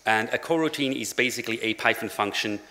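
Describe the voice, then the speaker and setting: A man's voice: mid-range voice, quick pace, pushed and bright. A young man giving a casual technical conference talk at a lectern, with many "uh" fillers and dry jokes. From Switzerland. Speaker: conference speaker. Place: large conference hall at a lectern. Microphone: lectern microphone. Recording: long hall reverb.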